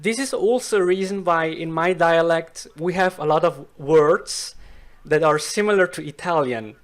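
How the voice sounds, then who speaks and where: A Swiss man whose first language is Swiss German, speaking careful, slow learner's English as an interview guest. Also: fairly high pitch. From Switzerland. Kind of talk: casual interview chat.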